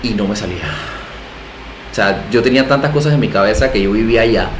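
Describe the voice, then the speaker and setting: A middle-aged man with a Panamanian accent, talking casually as an interview guest, animated and self-deprecating, repeating phrases for emphasis. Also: lowish voice, fast talker. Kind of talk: casual interview conversation, storytelling. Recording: echoey room, faint background noise.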